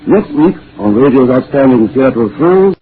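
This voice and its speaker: A man's voice: medium pitch, animated, moderate pace, a little hiss or hum. A man reading a scripted sign-off in 1940s radio style. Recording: thin, phone-like vintage radio recording.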